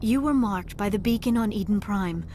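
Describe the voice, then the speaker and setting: A woman's voice: mid-range voice, lively intonation, normal speed, warm tone, a little hiss or hum, lightly acted. An actress playing a shy, earnest young female alien archaeologist in a video game, with formal, precise diction and a socially awkward manner. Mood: reflective, modest. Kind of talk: video game dialogue acting. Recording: music behind the dialogue.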